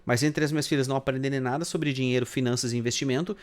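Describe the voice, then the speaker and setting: A man's voice: mid-range voice, steady tone, very fast. A man in casual podcast chat, telling stories animatedly and swearing often. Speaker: podcast co-host.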